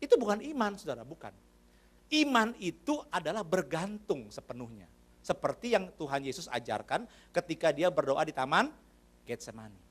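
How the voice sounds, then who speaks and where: A man's voice: on the high side, very animated, normal speed. A man preaching a sermon in a church. He talks to the congregation in a conversational way, addresses them often and asks them questions. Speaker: pastor.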